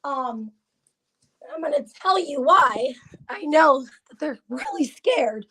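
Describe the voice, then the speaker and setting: A woman hosting a casual live stream, hoarse from laryngitis, chatting in a confiding way and often clearing her throat. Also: sits high, very animated, takes it slowly, fairly bright tone. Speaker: gossip channel host.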